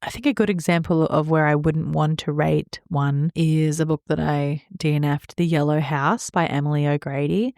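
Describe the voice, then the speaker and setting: Female co-host for an informal podcast, gravelly low voice, wry and self-deprecating delivery. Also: steady tone, normal speed, few pauses, darkish tone, dry recording, clean studio sound. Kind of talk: casual book podcast chat.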